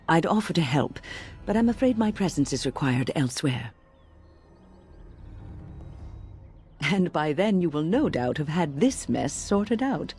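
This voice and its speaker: An actress playing an older, genteel female mage in a fantasy game, speaking formally and with measured kindness. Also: sits low, a little mellow. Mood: warm, measured. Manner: formal, measured, kindly diction. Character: elderly genteel female mage, wise and cautious. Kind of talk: fantasy game dialogue acting.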